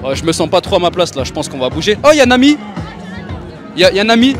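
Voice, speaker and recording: Fast cheeky young male street interviewer. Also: high voice, sing-song, music in the background, crowd noise. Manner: cheeky, provocative. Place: outdoor steps at a carnival. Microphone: handheld mic.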